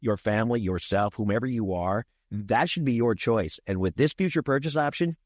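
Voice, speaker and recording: A man's voice: lowish voice, normal speed, a little mellow, no room sound, studio quality. A man in his sixties co-hosting a podcast, talking in a folksy, repetitive way with the odd chuckle. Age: 60s. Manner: folksy, repeats himself, chuckles. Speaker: podcast co-host. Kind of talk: casual podcast discussion.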